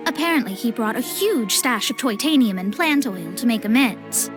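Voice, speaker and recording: A woman's voice: on the high side, animated, moderate pace, music in the background, close to an everyday voice. A woman voicing a proud, dramatic young astrologer in a video game, wry and haughty in manner. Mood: explanatory. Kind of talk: video game cutscene acting.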